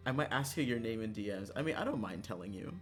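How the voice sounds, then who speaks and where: Animated streamer chatting over music. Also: mid-range voice, fast. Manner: animated, exasperated, swearing, laughing often. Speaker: livestreamer, gaming streamer. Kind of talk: casual livestream chat, ranting to viewers.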